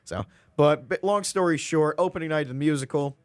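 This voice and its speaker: A man's voice: fairly high pitch, brisk, no room sound. A young man hosting a college radio show in a studio, rambling in a self-mocking way and laughing often. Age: young adult. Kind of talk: casual radio chat.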